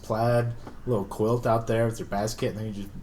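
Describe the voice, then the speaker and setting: A man co-hosting a casual podcast, telling jokes in a slow, drawn-out way. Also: fairly low pitch, level tone, a little mellow, slight background noise. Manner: joking, drawn-out storytelling. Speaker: podcast co-host. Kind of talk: casual chat.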